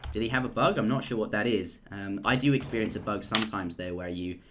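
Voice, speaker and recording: A young man casting a live strategy game, rambling and jokey, and getting excited at big plays. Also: fairly low pitch, fast talker, slight background noise. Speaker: esports caster.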